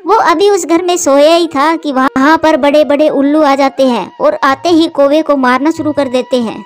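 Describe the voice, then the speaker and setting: A woman reads a scripted children's cartoon story as its narrator. Her voice is high, with faint music underneath. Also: moderate pace.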